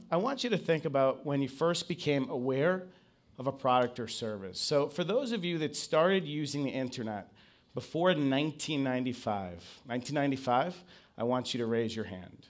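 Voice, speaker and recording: A man speaking English as his first language, giving a conference presentation and drawing the audience in with questions. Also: medium pitch. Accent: non-Brazilian English accent. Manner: engaging, asks the audience to raise hands. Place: conference stage.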